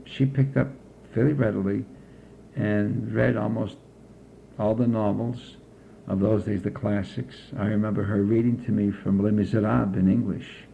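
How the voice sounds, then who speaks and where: Older male interviewee, gravelly low voice, unhurried reminiscing, tinny lo-fi recording. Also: steady tone, mellow, slight background noise. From United States. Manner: slow, measured recollection with frequent pauses. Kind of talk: oral history interview.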